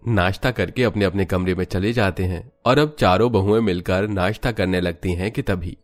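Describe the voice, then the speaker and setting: Casting: male narrator for animated family tales, lower-pitched voice, scripted storytelling. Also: moderate pace.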